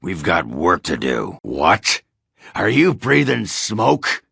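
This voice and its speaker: A male actor playing a gruff, crude, hard-drinking dwarf warrior in a video game, cranky and boastful, with a growling, sardonic, blustering delivery. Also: deep voice, huge pitch swings, slow pace, bright, forceful tone, no echo, studio quality, exaggerated voice. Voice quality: gravelly, growling rasp. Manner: blustering, earthy swearing, sardonic. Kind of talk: video game character acting.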